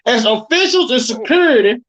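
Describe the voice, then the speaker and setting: A man's voice: extremely high pitch, lively intonation, fairly quick, fairly bright tone. A man in his thirties, narrating wrestling action and chatting casually, swearing freely. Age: 30s. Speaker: show narrator and commentator. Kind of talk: casual chat and read-out match narration.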